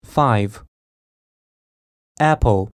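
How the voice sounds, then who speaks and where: A woman with an extremely low voice reads scripted items for a listening test. Her delivery is slow and deliberate, with each word carefully enunciated, and the sound is dry. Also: no echo. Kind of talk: scripted language-test reading.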